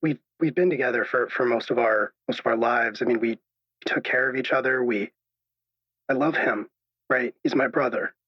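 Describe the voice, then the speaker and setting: Guy acting a nervous radio caller, stammery and husky, muffled echoey sound. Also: medium pitch, lightly acted. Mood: troubled, halting. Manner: hesitant, halting, stammering, apologetic. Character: anxious, troubled male caller unsure of his own reality. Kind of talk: improvised radio drama. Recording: dull lo-fi echoey call sound.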